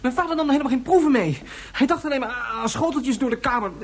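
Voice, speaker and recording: Actor as a fast-talking, insistent young boy. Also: high-pitched, slight background noise, lightly acted. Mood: insistent, puzzled. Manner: insistent, breathless rush of questions and pleas. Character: young boy, insistent, worried about his father. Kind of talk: Dutch science-fiction radio play acting.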